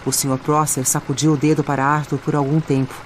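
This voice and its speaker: A woman's voice: sits low, flat delivery, fast, a little hiss or hum. A woman with a Brazilian accent reading scripted audiobook narration. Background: music and added sound effects.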